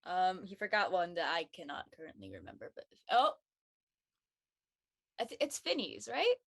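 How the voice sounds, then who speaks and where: A woman's voice: lowish voice, wildly swooping pitch, moderate pace, vocal fry, fairly bright tone. A woman chatting casually as a panelist in a group discussion. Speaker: panelist in a post-show cast discussion. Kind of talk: casual chat.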